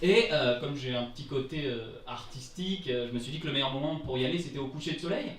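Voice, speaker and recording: A man's voice: mid-range voice, fast, slight background noise. A man in his twenties from France telling a comic personal story on stage in a casual, self-mocking, theatrical way. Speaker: amateur storyteller at a live travel-story event. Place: indoor live storytelling event before an audience. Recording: roomy live venue, distant microphone.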